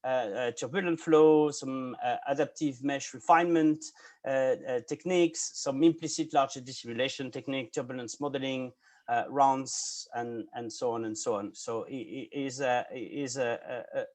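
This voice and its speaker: A man's voice: mid-range voice, fairly even intonation, moderate pace, a little mellow. A man in his forties hosting an interview podcast over a video call, introducing a guest with many hesitant fillers. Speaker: podcast host.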